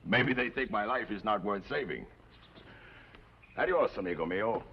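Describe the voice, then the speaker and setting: An actor playing a grateful, wry Mexican outlaw of about forty-five in an old TV western, speaking formally and floridly with a Mexican accent. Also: male voice, fairly low pitch, animated, moderate pace. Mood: amused, warm. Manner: florid, formal speech sprinkled with Spanish. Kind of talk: 1950s television western acting. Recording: muffled, noisy old TV western soundtrack.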